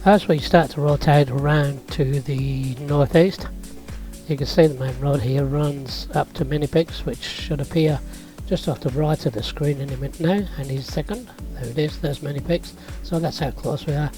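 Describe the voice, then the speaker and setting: A man, hoarse and croaky from being sick, casually narrating a road trip and drone flight with easygoing fillers. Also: fairly high pitch, moderate pace, music in the background. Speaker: vlog host. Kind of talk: casual travel vlog narration.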